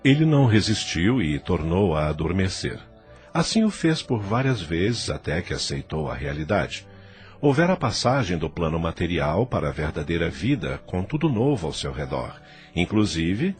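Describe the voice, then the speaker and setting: A man with a Brazilian accent giving scripted dramatic narration for an audio novel. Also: deep voice.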